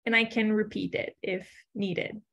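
A woman's voice: mid-range voice, steady tone, measured pace, vocal fry, fairly bright tone, dull audio. A woman in her twenties moderating an online panel informally, speaking through an earphone mic. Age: 20s. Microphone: wired earphones on video call.

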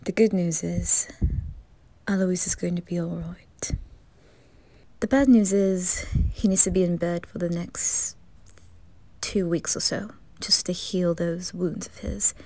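A woman voicing a composed male demon butler in an ASMR fan roleplay, speaking softly, haltingly and reassuringly. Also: fairly low pitch, steady tone, no echo.

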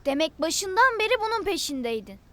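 A performer voicing a sweet, helpful young animal in a children's cartoon, earnest and expressive. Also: extremely high pitch, a little fast, dry recording, full character voice. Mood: hurt, explaining. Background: cartoon background music.

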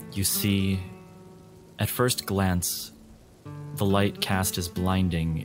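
Male game master, very low register, ominous atmospheric narration over prominent music. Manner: deliberate, dramatic, ominous storytelling. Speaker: game master of a role-playing podcast.

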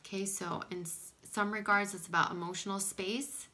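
A woman giving a casual oracle card reading in a small room at home, her voice a little scratchy from pollen, soft-spoken and sometimes dropping to a whisper. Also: mid-range voice, fairly even intonation, normal speed. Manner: soft-spoken, drifting into whispers, frequent "okay?". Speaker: spiritual card reader.

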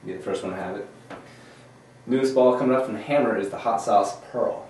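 A low-pitched man in his thirties reads through a list of new products in a steady, agreeable way. The sound is distant and echoey, as if from a camera across a studio room, with faint noise underneath. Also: fairly quick. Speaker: co-host. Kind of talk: casual product rundown. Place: studio room with a bowling-lane backdrop. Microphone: far camera.

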